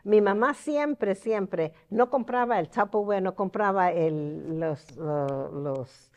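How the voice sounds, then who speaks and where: A woman from Brooklyn with a Puerto Rican accent talks casually as an interview guest. Her pitch sits in a middle range, and she drops English words into her Spanish as she shares personal stories. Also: slow, a little mellow. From Brooklyn, New York.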